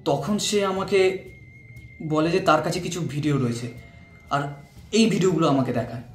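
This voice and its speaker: An actor playing an earnest young male witness in a crime drama, speaking politely and haltingly. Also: fairly high pitch, expressive, natural voice. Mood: earnest explaining. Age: young adult. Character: earnest young male witness, a job-seeking software engineer. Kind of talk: short crime drama acting. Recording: echoey room, music behind.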